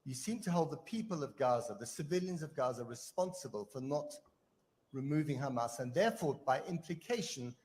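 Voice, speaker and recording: Casting: male interviewer, mid pitch, probing, heard in a long reverb. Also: lively intonation, a little fast, darkish tone. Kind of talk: pointed broadcast interview question.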